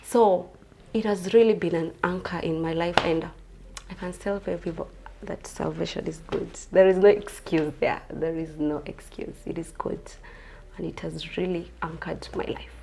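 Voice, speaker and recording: A woman in her twenties from Kenya, a pageant contestant answering interview questions in an earnest, soft-spoken way. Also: on the low side, steady tone, moderate pace, creaky, a little hiss or hum. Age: 20s.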